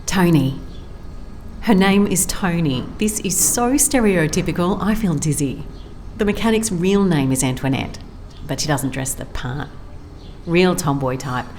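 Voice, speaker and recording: Low-voiced, wry female private investigator. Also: lively intonation, measured pace, darkish tone, a little hiss or hum. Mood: dry, musing. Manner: dry, wry, conversational. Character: wry, observant female private investigator narrating her case. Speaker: lead actor in an audio drama. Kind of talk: audio drama case notes and dialogue.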